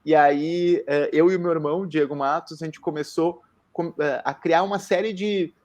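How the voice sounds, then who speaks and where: A man talking in an intense, expressive way as a guest introducing himself, using slangy interjections. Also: fairly high pitch, normal speed. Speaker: guest, founder of a social communication initiative. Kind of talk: casual self-introduction on a talk.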